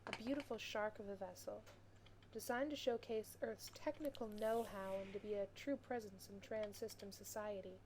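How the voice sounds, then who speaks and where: An earnest female space officer voiced by an actress narrates an audio drama. Her delivery is measured and wistful, in a mid-range voice. There is some faint noise with clicks and typing behind her. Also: mid-range voice, steady tone, darkish tone, lightly acted, poor audio.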